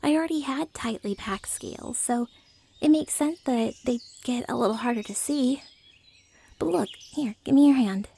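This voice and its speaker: A woman voicing a friendly, naive, excitable dragon in humanoid form, chatty and playful in a soft ASMR roleplay style. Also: a little high, normal speed, creaky, slightly rough. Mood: proud, chatty. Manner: chatty, playful, casually blunt about eating people.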